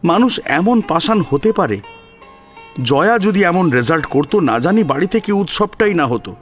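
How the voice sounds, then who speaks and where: Animated male storyteller. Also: high-pitched, normal speed, soft background music. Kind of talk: dramatic narration of a serialized story.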